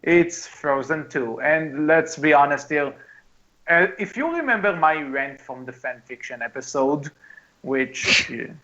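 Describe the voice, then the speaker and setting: Dull-sounding man, halting and opinionated podcaster. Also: a little high, lively intonation, bright, forceful tone. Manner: halting, often repeats and restarts phrases. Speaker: podcast co-host. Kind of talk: casual movie discussion with friends.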